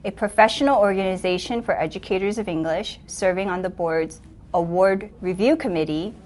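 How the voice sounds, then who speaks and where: A woman's voice: mid-range voice, fairly quick, a little mellow. A woman English instructor and teacher trainer introducing herself in a composed, professional way. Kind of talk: scripted self-introduction.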